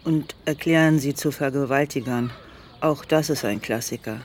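A woman's voice: a bass voice, normal speed, darkish tone. Radio play role: commanding, combative sick woman, dry delivery, faint background noise. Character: sick, blunt, bossy woman, politically combative sister. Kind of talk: radio drama dialogue.

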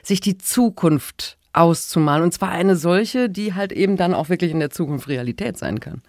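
A woman's voice: on the low side, animated, normal speed, a little mellow, no echo, clean studio sound. A woman conducting a radio interview as the interviewer, putting questions to a guest.

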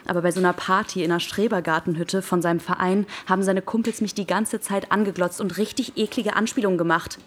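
A woman's voice: lowish voice, steady tone, fast talker, hardly any pauses, a little hiss or hum, close to an everyday voice. An actress playing a hurt young ex-girlfriend in a radio drama, talking in a terse, dry, matter-of-fact way. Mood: bitter, matter-of-fact. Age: young adult. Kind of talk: naturalistic radio drama dialogue.

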